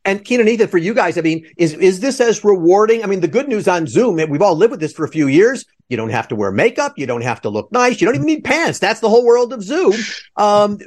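A man with a slightly high voice hosts a conversational podcast on a video call. He is effusive and rambles, often breaking off into asides before returning to his point. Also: big pitch swings, fast talker. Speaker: podcast host. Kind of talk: enthusiastic conversational interviewing over video call.

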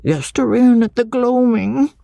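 High-pitched, stubborn little schoolboy. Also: animated, measured pace, creaky, mellow, no room sound. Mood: gloomily confident. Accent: Northern English dialect. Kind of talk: audiobook character dialogue.

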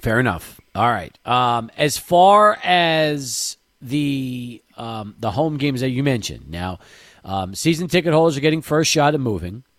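A man's voice: mid-range voice, animated, a little slow, a bit bright, no echo, studio quality. A man hosting a sports talk show, asking long, winding questions. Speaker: radio sports talk host. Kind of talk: interview questions.

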